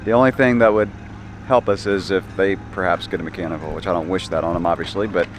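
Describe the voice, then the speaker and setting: A man talking casually and upbeat to his camera at an outdoor race, his voice a bit hoarse from yelling. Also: sits low, steady tone, quick pace, slight background noise. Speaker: vlogger. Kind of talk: casual vlog narration.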